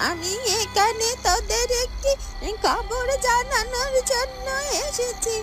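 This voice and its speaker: A woman voicing an old one-eyed crone in a cartoon, with a drawling, halting delivery. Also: very high voice, music in the background. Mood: sly, wheezy complaint. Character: sly, slow-moving old one-eyed crone bringing news. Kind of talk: Bengali cartoon voice acting.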